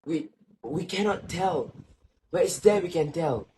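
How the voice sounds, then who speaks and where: A man playing a sorrowful, reflective old grandfather in a stage play, speaking in a slow, solemn, literary way. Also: on the high side, animated, dark tone. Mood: grave, reflective. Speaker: student actor. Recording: faint background noise.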